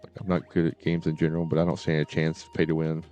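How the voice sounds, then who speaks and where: A man's voice: extremely low pitch, completely flat delivery, fast talker, darkish tone, faint music behind. A man streaming games and chatting casually in a hesitant, rambling way. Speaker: game streamer. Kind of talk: casual livestream chat.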